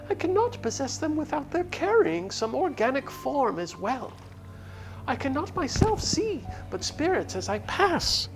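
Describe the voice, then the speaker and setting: Fantasy game wisp, very high-pitched, swooping, eager, wistful, grandly formal. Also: female voice, a little mellow, full character voice, low-quality recording. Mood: earnest, regretful. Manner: grandly formal, archaic phrasing, emphatic. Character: eager, wistful wisp spirit of dancing light. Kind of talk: theatrical read-aloud of a fantasy game character.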